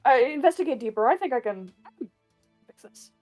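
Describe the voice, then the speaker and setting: A woman playing in a casual online tabletop game, speaking in a relaxed, playful way. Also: high-pitched, fairly quick, soft background music. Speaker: player.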